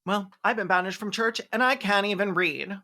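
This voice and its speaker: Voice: mid-range voice, moderate pace, background noise. A video host giving sarcastic, mocking movie commentary in an incredulous, campy and slangy way. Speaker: video host, film reviewer.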